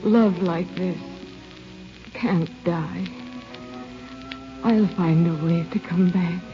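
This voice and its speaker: An actress playing a tender, wistful dying young bride, halting and faint, in old-time radio style. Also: female voice, on the low side, fairly even intonation. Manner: slow, halting, faint, melodramatic. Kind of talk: 1940s radio acting. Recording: muffled old radio recording with music.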